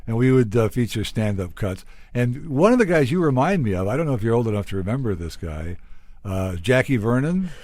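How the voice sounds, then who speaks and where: A man's voice: medium pitch, big pitch swings, a little fast, a little mellow, dry recording. A middle-aged man hosting a casual interview, chatting as a radio host.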